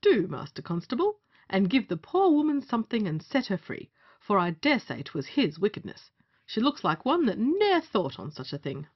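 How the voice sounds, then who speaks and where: Woman doing a bossy old-comedy magistrate, husky, swingy pitch, scheming, bit muffled. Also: wildly swooping pitch, normal speed. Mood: commanding. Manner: commanding, theatrical. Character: bossy scheming male justice of the peace. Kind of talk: stage comedy in old-fashioned English. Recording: muffled lo-fi audio.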